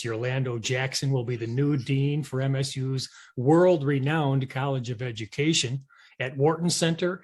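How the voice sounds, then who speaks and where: A man hosting an interview show, reading scripted introductions and questions. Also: mid-range voice.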